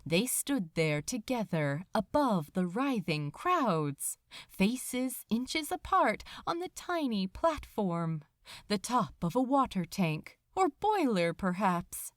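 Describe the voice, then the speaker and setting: A woman narrating fiction from a script in an expressive, dramatic storytelling manner. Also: medium pitch, takes it slowly, no echo, clean studio sound. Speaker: podfic narrator.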